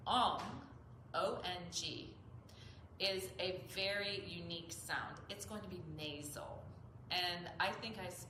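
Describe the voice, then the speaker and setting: A woman's voice: on the low side, slow pace, pushed and bright. A woman with a slightly nasal voice explains as a yoga teacher in an informal, earnest, rambling tutorial. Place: echoey indoor yoga studio. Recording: roomy echo, clearly noisy background.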